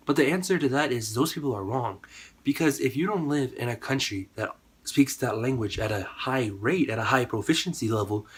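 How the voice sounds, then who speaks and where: Husky-voiced sick man. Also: mid-range voice, brisk. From United States. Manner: rambling, conversational, with many fillers. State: sick, voice partly gone. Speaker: YouTuber. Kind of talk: casual to-camera vlog.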